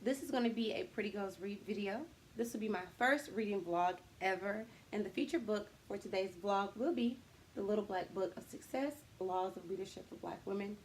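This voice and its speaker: A woman's voice: mid-range voice, brisk, a little hiss or hum, poor audio. A woman hosting a reading vlog in casual, slangy, upbeat, encouraging chat. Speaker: host of a book-reading vlog. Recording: music playing behind the voice. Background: background music.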